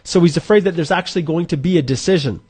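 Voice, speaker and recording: A man's voice: a little high, fast talker, darkish tone, breathy edge. A man in his forties hosting a live Q&A, chatting informally and thinking aloud as he walks viewers through an online points calculator. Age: 40s. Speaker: immigration advisor hosting a live Q&A. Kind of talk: live-streamed explainer walking through a calculator.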